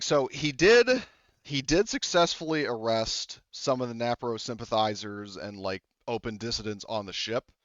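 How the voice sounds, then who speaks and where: A man's voice: mid-range voice, no echo. A man running a tabletop game as game master, talking casually and rambling with many fillers and self-corrections. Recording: dull lo-fi dry mic.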